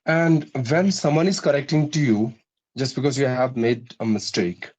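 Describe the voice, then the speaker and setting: A man's voice: medium pitch, moderate pace, darkish tone, poor audio. A man interviewing a learner for spoken practice, asking long questions with hesitant fillers. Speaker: English teacher interviewing a learner.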